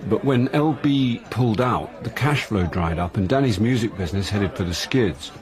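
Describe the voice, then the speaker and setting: An actor playing a wry, boozy middle-aged English amateur detective in a radio drama, with a dry, droll, wordy delivery. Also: male voice, on the low side, lively intonation, normal speed, a crowd in the background, natural voice. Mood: matter-of-fact. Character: wry, boozy, self-deprecating actor turned amateur sleuth.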